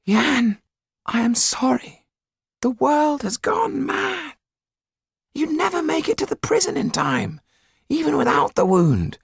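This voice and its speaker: A man voicing a weary, urgent little older male companion in an audiobook, with a high, croaky, husky squeak and deliberate delivery. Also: big pitch swings, dry recording, studio quality, full character voice. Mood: weary, grim.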